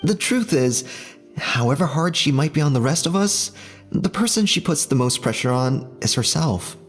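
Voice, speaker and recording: Sincere, animated kitchen worker. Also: mid-range voice, moderate pace, husky, faint music behind. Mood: sympathetic. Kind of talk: anime game voice acting.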